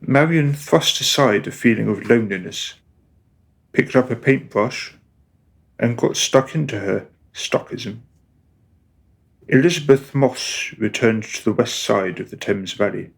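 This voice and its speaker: A man serves as narrator of a radio drama. His mid-pitched voice has a marked creak. He reads the script in a measured storyteller's manner.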